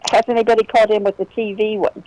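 A woman calling in to a radio show who wanders through her point with ums and pauses. Her voice sits at a mid pitch, and the sound is close and dry. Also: little pitch movement, mellow, no echo, low-quality recording. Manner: meandering and chatty, with hesitations. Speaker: caller. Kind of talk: casual phone chat.

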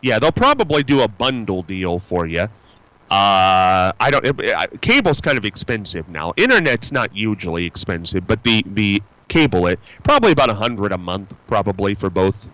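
A man's voice: fairly low pitch, big pitch swings, moderate pace, pushed and bright, dry recording, poor audio. A male radio host chatting with callers in a folksy, rambling way, full of fillers and restarts. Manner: upbeat and folksy. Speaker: radio call-in show host. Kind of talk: casual call-in chat. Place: radio studio.

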